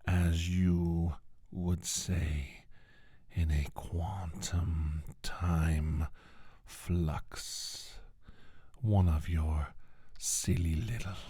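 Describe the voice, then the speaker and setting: Man playing a slow, whispering alien villain. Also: deep voice, dark tone, no echo, clean studio sound, full character voice. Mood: silky, sinister. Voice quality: breathy, half-whispered, husky. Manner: slow, deliberate, pompous, formal. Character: menacing male alien scientist bent on world domination. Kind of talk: soft-spoken ASMR roleplay monologue.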